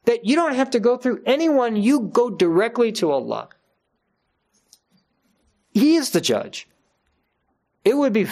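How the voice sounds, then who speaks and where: A man's voice: high voice, a little mellow. A man giving an unscripted religious talk to his congregation, earnest and emphatic, repeating key phrases for weight.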